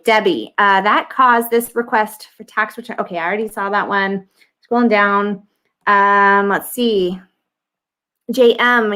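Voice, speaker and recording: A woman's voice: mid-range voice, fairly bright tone. Woman host with a scratchy throat. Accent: American. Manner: chatty, thinks aloud with many ums. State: scratchy throat, clearing it often. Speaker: livestream host answering viewer questions. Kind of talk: casual live Q&A.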